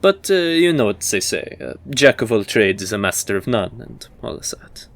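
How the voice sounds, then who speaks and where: A man playing a measured, scholarly university professor who lectures formally and deliberately in a fantasy audio roleplay. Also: lowish voice, very animated. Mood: lecturing, measured. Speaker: professor giving a history lecture.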